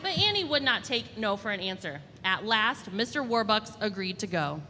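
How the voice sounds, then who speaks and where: Expressive bright-voiced woman reading a children's story. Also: medium pitch, moderate pace. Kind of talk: children's storybook narration, read aloud. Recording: reverberant hall, faint background noise.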